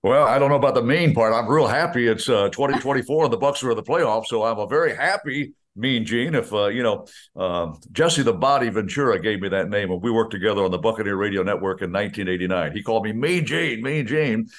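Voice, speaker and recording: A man's voice: on the low side, brisk. An older man who works as a radio play-by-play announcer, chatting in a folksy, good-humored way with stammered restarts. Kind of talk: casual radio chat.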